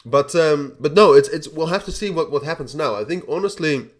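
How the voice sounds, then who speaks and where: A man's voice: on the high side, fairly quick. A young man with a stuffy, nasal voice hosting a casual crypto update, rambling with many restarts and "all right?" checks. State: blocked nose. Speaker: crypto channel host. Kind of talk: casual market update to camera.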